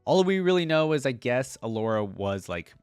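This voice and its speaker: A man's voice: mid-range voice, very animated, normal speed, darkish tone, clean tone, no room sound. A man reading text aloud as a reaction video host, dropping in casual asides between passages.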